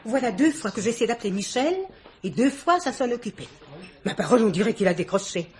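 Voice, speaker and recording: An actress playing an imperious, chattering middle-aged society hostess in a theatrical, exclamatory French radio mystery play. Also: female voice, fairly high pitch, animated, brisk, a little mellow, slight background noise, close to an everyday voice. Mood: exasperated.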